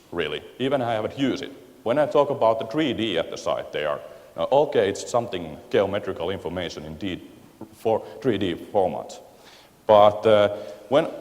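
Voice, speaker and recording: A man with a Finnish accent gives a conference talk into a handheld microphone, his voice sitting very low. He pauses often to search for words in a language that is not his own. The sound rings with the long reverb of a big lecture hall. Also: darkish tone. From Finland. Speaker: guest speaker at a conference. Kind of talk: conference presentation.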